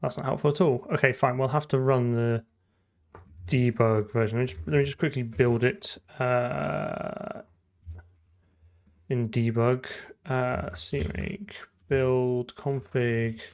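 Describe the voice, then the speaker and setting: A man's voice: medium pitch, fairly even intonation, slow, a little mellow. A man thinking aloud in casual live coding commentary, muttering to himself and trailing off mid-thought. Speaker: programmer livestreaming game development.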